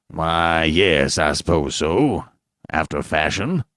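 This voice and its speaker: A man's voice: very deep voice, expressive, takes it slowly, no echo, studio quality, voice pushed down, exaggerated voice. A man doing a cutting, indignant old doctor. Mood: irritated. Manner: sharp, cutting, scolding. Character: irritable elderly family doctor, curt and indignant.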